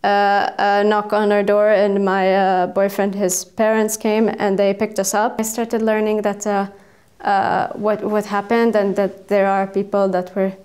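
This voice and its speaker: A young woman in her twenties from Israel, speaking English, answering interview questions hesitantly and carefully, with many pauses. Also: medium pitch, monotone, darkish tone. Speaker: student.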